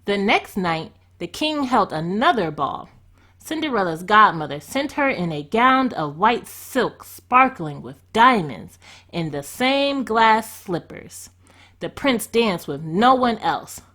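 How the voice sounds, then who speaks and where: A woman storyteller narrating a children's storybook in an expressive read-aloud style. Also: medium pitch, slow.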